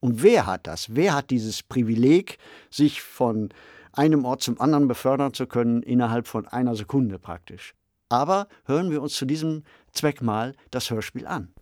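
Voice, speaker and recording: A male podcast co-host with a mid-pitched voice, recorded very dry in a studio. He talks conversationally, musing through ideas and leaning on repeated words for emphasis. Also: expressive, normal speed, darkish tone, no echo.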